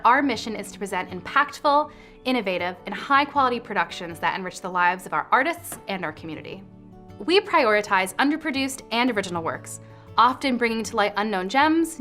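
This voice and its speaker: A woman's voice: a little high, fairly bright tone. A woman representing a theater company, reading an upbeat, enthusiastic promotional message. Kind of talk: scripted promotional read.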